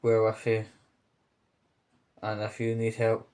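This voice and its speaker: A man with a fairly low voice talks slowly in a casual vlog style. He sounds earnest and a little hesitant, breaking his thoughts into short phrases, with very little rise and fall in pitch. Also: completely flat delivery, a little mellow. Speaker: vlogger. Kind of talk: casual spoken vlog update.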